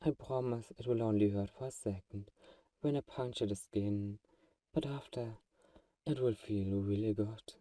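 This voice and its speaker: A man playing a possessive vampire who is gentle, coaxing and condescendingly protective, in a soft-spoken, intimate ASMR roleplay. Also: fairly low pitch, unhurried, no room sound, distant mic, close to an everyday voice. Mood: soothing, coaxing.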